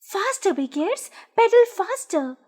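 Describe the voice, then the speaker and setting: A woman voicing a cheerful, excitable little toy boy in a storybook reading, talking in a quick, high, excited way. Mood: eager.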